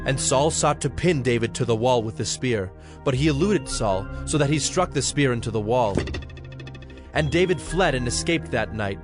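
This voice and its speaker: A man's voice: medium pitch, animated, normal speed. A man narrating scripture from a script as the narrator of a dramatized audio production. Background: music under the voice.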